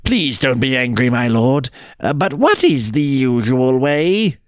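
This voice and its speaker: A man's voice: medium pitch, sing-song, measured pace, no room sound, studio quality. A man voicing a sly jackal in a storybook tale who plays muddled and dim, hesitant and plaintive. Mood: flustered, pleading. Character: sly trickster jackal feigning muddled, dithering stupidity. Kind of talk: storybook character reading.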